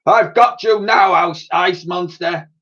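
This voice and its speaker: A man's voice: sits high, slow, sharp tone, husky, pitched above the performer's own voice, full character voice. A man voicing a gloating, trigger-happy female villain in a storybook, menacing and exclaiming. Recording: dull, lo-fi sound.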